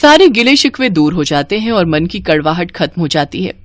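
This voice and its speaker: A woman's voice: very animated, moderate pace, no room sound. Dramatic woman narrating a cartoon story. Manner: dramatic storytelling, rising to shrill anger in quarrels. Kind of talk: scripted cartoon narration voicing all female roles.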